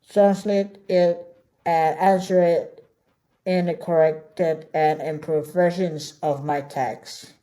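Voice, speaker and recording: Woman course tutor, Indonesian native speaker, low and mellow voice, unhurried, chatty with lots of "all right?" check-ins. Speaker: online course instructor. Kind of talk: screen-share tutorial.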